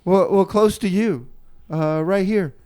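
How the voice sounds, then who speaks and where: A male community radio host with a clear, smooth voice set slightly high. He talks casually and haltingly, improvising as he goes. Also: mellow.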